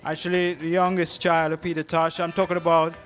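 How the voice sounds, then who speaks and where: A man's voice: a little high, little pitch movement, a little fast, fairly bright tone, soft background music. A man hosting a live event and introducing speakers at a symposium.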